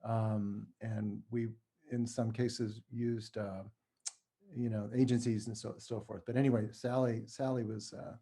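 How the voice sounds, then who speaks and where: A man speaking informally and modestly as a podcast guest, a playwright chatting about his work in a halting way. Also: fairly low pitch, flat delivery, warm tone. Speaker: podcast guest, playwright and audio drama creator. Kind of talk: casual conversational interview.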